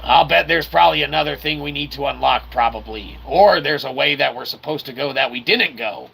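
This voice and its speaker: A man's voice: mid-range voice, a little fast, gravelly, bright, forceful tone, breathy edge. A man giving casual, joking game commentary in wordy, mock-formal phrases, tossing in playful vocal sound effects. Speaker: host of a gameplay video channel.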